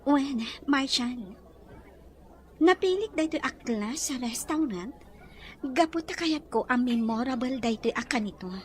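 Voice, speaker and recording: A woman's voice: high-pitched, lively intonation, unhurried, slight background noise. A woman playing a well-off young madam in a romantic Ilocano audio drama. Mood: tender.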